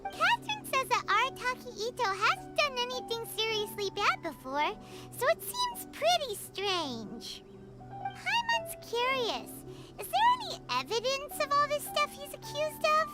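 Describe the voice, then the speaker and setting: A woman's voice: very high voice, huge pitch swings, a little slow, over loud music, exaggerated voice. A woman voicing a small, curious, cheeky childlike fairy companion in a video game. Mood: curious, puzzled. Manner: speaks of self in third person.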